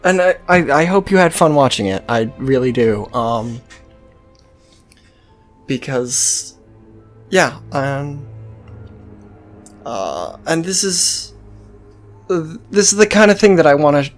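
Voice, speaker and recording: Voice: medium pitch, slow, long pauses, soft background music. A game series host giving casual, sweary let's-play commentary in a rambling, hesitant way.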